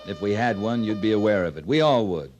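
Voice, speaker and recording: An actor playing a terse, dry-witted American cavalry captain in an old-time radio western. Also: male voice, lowish voice, a little fast, highs cut off, natural voice. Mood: blunt, skeptical. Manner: terse, deadpan, plain-spoken.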